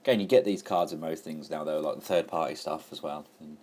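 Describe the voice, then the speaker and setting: A man's voice: extremely low pitch, fairly even intonation, fairly quick, darkish tone, a little hiss or hum. An enthusiastic man chatting casually through a toy review, full of fillers and restarts. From England.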